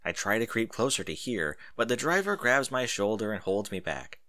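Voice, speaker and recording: A man narrating a first-person story in an animated audiobook style. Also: lowish voice, normal speed, no echo, studio quality.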